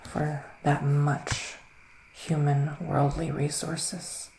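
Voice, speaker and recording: A man speaks in a soft, creaky half-whisper, playing a gentle witch in an ASMR roleplay. He is soothing and reassuring, leaving pauses between phrases. The room rings slightly around his voice, over a faint hiss. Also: a little high, flat delivery, roomy sound, poor audio. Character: gentle, reassuring male witch giving psychic readings. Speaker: ASMR performer playing a psychic reader.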